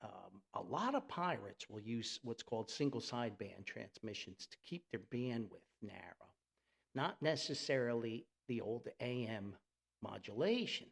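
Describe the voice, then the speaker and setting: A man speaks as an enthusiastic radio hobbyist hosting his own podcast. His mid-pitched voice has a gravelly creak and moves in lively swings. The delivery is folksy and off the cuff, like casual storytelling. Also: a little slow. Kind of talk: casual unscripted podcast monologue.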